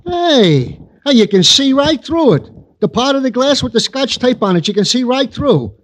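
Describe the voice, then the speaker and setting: An American actor playing a literal-minded, excitable police sergeant sidekick in 1940s radio drama. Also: male voice, very high voice, normal speed. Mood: amazed. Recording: old 1940s radio broadcast.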